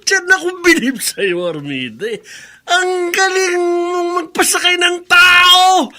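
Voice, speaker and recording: High, sharp-voiced Filipino schemer. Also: male voice, lively intonation, slow pace, full character voice. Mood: scheming. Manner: blustering, nagging. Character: impatient, money-grubbing crooked husband, nagging accomplice. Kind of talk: Filipino radio drama acting.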